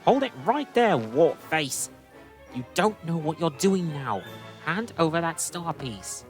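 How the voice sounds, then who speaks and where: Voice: mid-range voice, sing-song, slow, soft background music. An actor voicing a bold, commanding possessed wooden toy robot in an audio drama.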